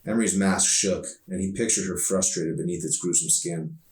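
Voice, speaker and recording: A man's voice: low-pitched, fairly even intonation, moderate pace. A man reading his own novel aloud as scripted audiobook narration. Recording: roomy echo, distant microphone.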